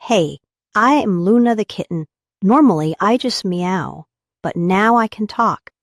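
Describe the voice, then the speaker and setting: A woman's voice: medium pitch, expressive, a little slow, no echo, studio quality. A woman voicing a sweet, friendly young kitten in a scripted cartoon promo. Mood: sweet, promotional.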